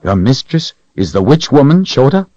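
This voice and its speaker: A man's voice: medium pitch, very animated, normal speed, dark tone, no echo, dull audio, pitched above the performer's own voice, natural voice. A man voicing a stern young swordsman who threatens and questions a captive.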